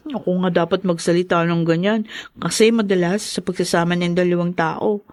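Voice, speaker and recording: A woman's voice: lowish voice, fairly even intonation, normal speed, a little mellow, no echo, lightly acted. A woman acting a devoted, emotional young college girl, earnest in the manner of Tagalog radio drama. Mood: soft, tender. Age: young adult.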